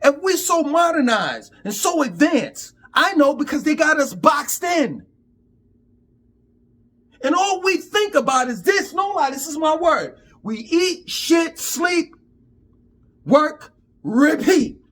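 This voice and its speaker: A man's voice: very high voice, very animated, slow, fairly bright tone. A man in his fifties giving an emphatic, profane, preachy rant to camera in street talk. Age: 50s. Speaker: vlogger.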